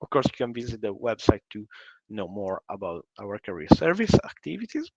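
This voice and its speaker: A man giving a halting, informal live presentation as a university representative, on dull online call audio. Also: fairly low pitch, wildly swooping pitch, vocal fry, darkish tone, no room sound.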